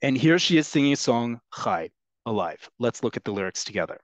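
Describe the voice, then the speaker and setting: A man teaching a Hebrew class on a video call, explaining earnestly with frequent pauses and ums. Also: medium pitch, sing-song, dry recording, lo-fi sound. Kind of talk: online class lecture.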